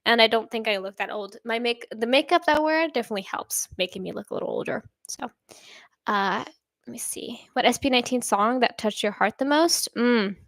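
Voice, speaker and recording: A young woman hosting a casual live stream, reading viewer comments and rambling with lots of fillers and soft laughs. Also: fairly quick, no echo, studio quality. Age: young adult. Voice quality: somewhat deep voice. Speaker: reaction channel host.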